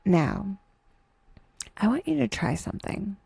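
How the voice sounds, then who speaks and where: A woman narrating a scripted guided meditation with wry, dry humor and a soft, breathy delivery, leaving unhurried pauses. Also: medium pitch, very animated, dry recording.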